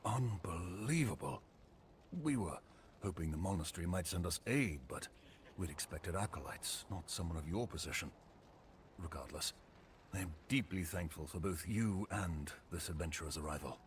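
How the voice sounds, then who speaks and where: An actor playing a stern, battle-worn fort captain in a video game, measured and solemn. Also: male voice, sits low, animated. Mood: grave, thankful. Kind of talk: dramatic video game acting. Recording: faint background noise.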